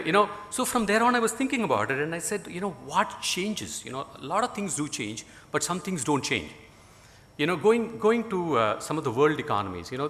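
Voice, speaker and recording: Middle-aged Indian man, native Tamil speaker; bright voice, rambling speech with dry humor; reverberant hall sound. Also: mid-range voice. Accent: Indian English. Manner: rambling, many "you know" fillers, dry humor. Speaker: guest speaker. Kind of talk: conference talk.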